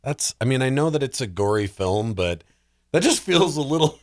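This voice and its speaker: A man's voice: mid-range voice, sing-song, moderate pace, a little mellow. A man chatting casually as a podcast panelist, jokey and prone to acting out imagined quotes. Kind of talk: casual chat.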